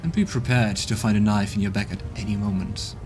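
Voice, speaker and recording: A man's voice: fairly low pitch, a little fast, darkish tone, voice pushed down, lightly acted. A man voicing a grave, cautious male adviser who speaks in a solemn, warning way, with game music behind.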